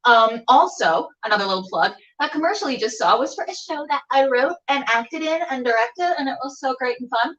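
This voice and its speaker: A woman's voice: high voice, animated, fairly quick, few pauses, a bit bright, distant mic, lo-fi sound. A bubbly, theatrical woman chatting casually as she hosts a livestreamed show. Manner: bubbly, theatrical, self-mocking. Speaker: web show host. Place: indoor room with a door. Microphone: camera on a tripod, a little distant.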